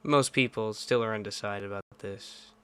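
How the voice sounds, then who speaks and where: Blunt, emphatic man with a crisp voice. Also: fairly low pitch, normal speed, fairly bright tone. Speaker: political commentator. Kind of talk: political commentary.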